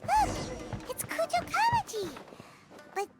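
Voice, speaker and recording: A squeaky, childlike sprite. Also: female voice, big pitch swings, a little slow, heavily acted. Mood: surprised. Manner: cute, wide-eyed, exclamatory. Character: tiny childlike floating sprite companion, curious and squeaky. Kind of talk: video game dialogue acting. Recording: loud music behind the voice.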